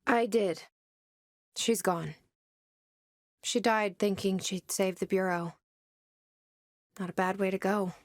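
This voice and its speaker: A woman's voice: mid-range voice, fairly even intonation, slow, long pauses, no room sound, studio quality, lightly acted. A woman playing a young, determined bureau director in a video game, wry, intent and self-questioning. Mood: resolute, reflective. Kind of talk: video game dialogue and inner monologue.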